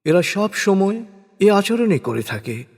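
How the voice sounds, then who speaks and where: A man reading a scripted scripture translation in a measured, solemn, deliberate manner. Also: sits high, very animated, a little mellow. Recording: long hall reverb on voice.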